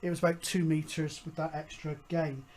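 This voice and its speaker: Hesitant hobby show host, medium pitch. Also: level tone, a little fast, a little mellow. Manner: hesitant, rambling, matter-of-fact delivery. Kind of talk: casual hobbyist explainer.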